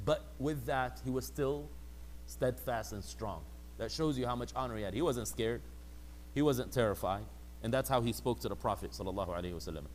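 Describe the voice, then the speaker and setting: A man's voice: medium pitch, a little hiss or hum, low-quality recording. A man giving a conversational religious lecture, sometimes acting out quoted people in a mocking voice. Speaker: religious teacher.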